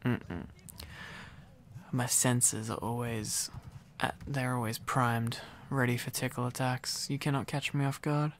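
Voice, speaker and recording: Man acting a slow, gravelly, needy boyfriend. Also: mid-range voice, level tone, close to an everyday voice. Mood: tender, teasing. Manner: playful, teasing, rambling. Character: needy, silly, affectionate young boyfriend, playfully teasing. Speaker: ASMR roleplay performer. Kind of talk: boyfriend ASMR roleplay, intimate rambling to listener.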